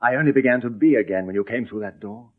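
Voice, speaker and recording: An actor playing an ardent, exuberant gentleman in a radio drama, theatrical and exclamatory. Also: fairly low pitch, fast talker, lightly acted. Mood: tender, adoring. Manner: theatrical, exclamatory, swinging from tender to jaunty. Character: ardent, exuberant gentleman lover, boyishly teasing. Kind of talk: early radio drama acting. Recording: tinny, band-limited old radio recording.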